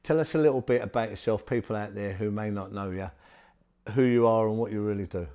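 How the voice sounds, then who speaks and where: A man's voice: lowish voice, brisk, clear, resonant tone, muffled recording. A middle-aged man hosting an interview in a studio, talking effusively and rambling with many fillers. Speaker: podcast host.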